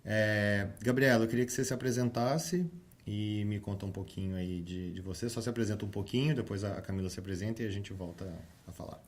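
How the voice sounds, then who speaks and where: Low-voiced man hosting a podcast. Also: fast. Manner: friendly, hesitant, many fillers. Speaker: podcast host. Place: office.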